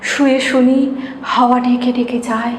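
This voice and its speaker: A woman reciting poetry in a dramatic, measured manner with drawn-out pauses. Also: a little high, little pitch movement. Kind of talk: expressive Bengali poetry recitation. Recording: reverberant echo, noticeable background hiss.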